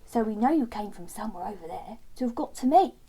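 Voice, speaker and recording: A woman's voice: medium pitch, animated, quick pace, dark tone, slightly rough. A woman voicing a helpful, frank male animal friend in a children's storybook, plain-spoken and questioning.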